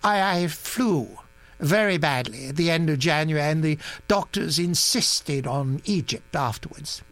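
A man reading an audiobook, voicing a polite older gentleman who speaks gently and hesitantly with regret. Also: fairly high pitch, lively intonation, a little slow, no room sound, voice pushed up. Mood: regretful.

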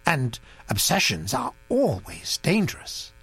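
A reader voicing a fussy, thoughtful elderly gentleman who speaks in a mild, earnest way, for an audiobook. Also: medium pitch, huge pitch swings, unhurried, gravelly, slightly rough, no room sound, voice pushed up, full character voice. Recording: dry recording with mains hum.

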